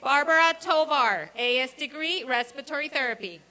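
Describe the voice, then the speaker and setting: High, bright woman reading graduate names. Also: lively intonation, a little fast. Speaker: program director announcing graduates. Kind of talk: formal reading of a graduate roll. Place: outdoor college commencement ceremony.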